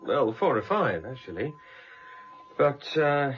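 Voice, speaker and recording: An actor playing a brisk, matter-of-fact police constable in a radio crime drama, reporting in clipped facts. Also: male voice, medium pitch, sing-song. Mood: factual. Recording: muffled radio drama recording.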